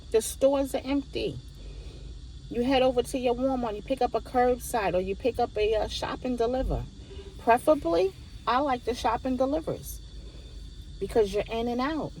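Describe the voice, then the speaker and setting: Woman, 50s, mid-pitched. Casual advice vlogger, conversational, repeats herself for emphasis, some background noise. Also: a little mellow, low-quality recording. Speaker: gig delivery driver giving advice to viewers.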